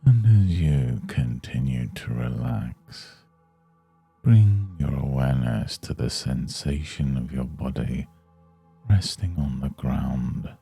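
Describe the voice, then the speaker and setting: A man guiding a yoga nidra meditation in a soothing, hushed, unhurried manner. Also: extremely low pitch, gravelly, husky, soft background music. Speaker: meditation guide.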